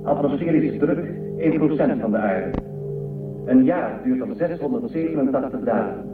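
A man's voice: mid-range voice, lively intonation, moderate pace, natural voice. A man playing a formal, factual android aide in a science fiction radio drama, reporting with impersonal precision. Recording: distant echoey lo-fi band, music behind.

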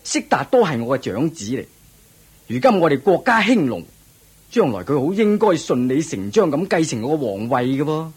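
A man playing a proud, hearty king in a radio drama, exclamatory and expressive. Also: on the high side, normal speed, slightly rough, heavily acted. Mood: shocked, displeased. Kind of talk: Cantonese radio drama acting.

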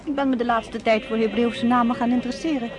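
An actress playing a proud, curious American nurse in a radio drama, talking firmly and with challenge. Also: female voice, fairly high pitch, fairly even intonation, fast, music in the background, natural voice.

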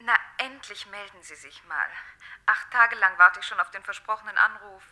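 An actress playing a pert, quick-witted young working-class woman in a radio play, with a teasing manner. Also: medium pitch, pushed and bright. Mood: teasing, eager. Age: young adult. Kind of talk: German radio play acting.

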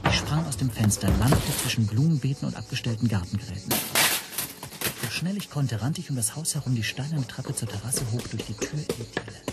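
A man's voice: mid-range voice, close to an everyday voice. An actor playing a tense, self-doubting man who tells his own story as introspective first-person narration in a radio play. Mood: alarmed, dejected.

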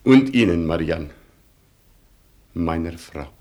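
Grave Polish colonel for radio play; fairly low voice, impassioned, declamatory, deeply proud. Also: male voice, animated, takes it slowly, mellow. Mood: fervent, solemn. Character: proud, wounded Polish colonel, solemn and melodramatic. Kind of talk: German radio play acting.

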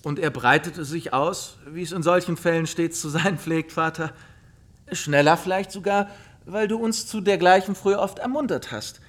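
A man playing a glib, genial young gentleman son in a radio drama, polite and persuasive. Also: on the high side, moderate pace, natural voice. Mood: easy, placating. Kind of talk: literary radio drama acting.

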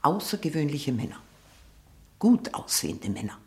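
An actress playing a haughty, sharp-tongued old aunt in a 1960 German radio drama, probing and disdainful, living on her memories. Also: low voice, sing-song, moderate pace. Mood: nostalgic, proud.

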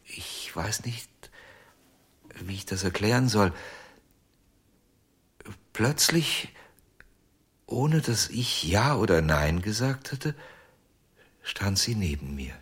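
An actor playing an anguished, jealous ventriloquist in a German radio crime drama, confessing haltingly in a husky voice that sinks to near-whispers. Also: male voice, lowish voice, very animated, heavily acted. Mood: halting, tormented.

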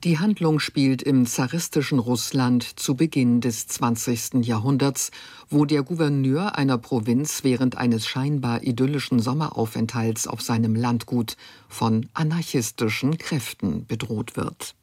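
A man's voice: medium pitch, a little slow, a little mellow, slightly rough, no room sound. A man presenting on radio, reading a scripted introduction at a studio microphone. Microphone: close studio microphone.

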